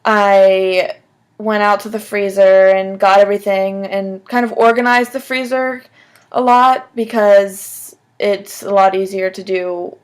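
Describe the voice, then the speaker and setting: A woman in her twenties answering job interview questions on the phone in a casual, conversational way, often saying "like," recorded at a desk in a small room. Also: medium pitch. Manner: casual, frequent "like" as filler. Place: small room at home, indoors.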